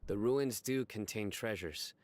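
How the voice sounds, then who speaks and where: A man voicing a stern, composed general in a video game, speaking in a grave, formal and measured way. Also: lowish voice, darkish tone, lightly acted. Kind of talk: video game character acting.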